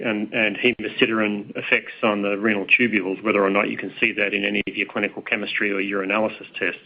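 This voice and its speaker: A man speaking as a company executive, giving measured, careful answers on an earnings call. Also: on the low side. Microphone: conference call line. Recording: slightly dull conference-call audio.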